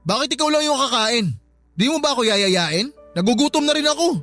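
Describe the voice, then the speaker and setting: A sarcastic, bossy young boyfriend in a radio drama, played in a high, bright voice. He snaps and insults without pause. Faint music runs underneath. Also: animated. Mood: mocking. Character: lazy, sharp-tongued young male live-in boyfriend.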